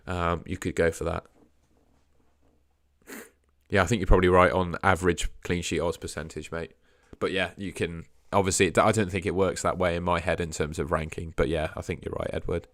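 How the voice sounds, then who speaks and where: Young male streamer, a bit hoarse from a sore throat, low voice, hedges a lot and drops off quietly at line ends. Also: no echo. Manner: hedging, trails off quietly at phrase ends. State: sore throat, losing his voice. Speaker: fantasy football livestream host. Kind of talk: casual live stream chat answering viewers.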